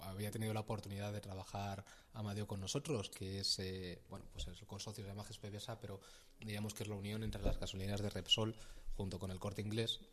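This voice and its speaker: A man's voice: fairly low pitch, slight background noise. A middle-aged man from Castilla-La Mancha, a company regional manager, explaining his firm in a casual interview and often repeating words as he searches for them. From Castilla-La Mancha, Spain. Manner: hesitant, repeats words often, laughs easily.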